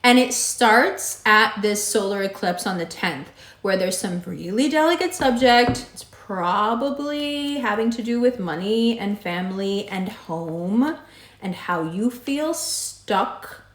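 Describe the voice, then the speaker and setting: A woman with a raspy voice giving a monthly horoscope reading, direct and emphatic, repeating her advice. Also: medium pitch, lively intonation, slow, a bit bright. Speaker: astrology reader. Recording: echoey room.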